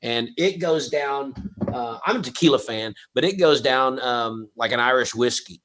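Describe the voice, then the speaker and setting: Excitable rambling middle-aged man. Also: mid-range voice, moderate pace. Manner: rambling, stammering restarts, excitable. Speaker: comedy show host and tequila promoter. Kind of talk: casual banter on a livestream.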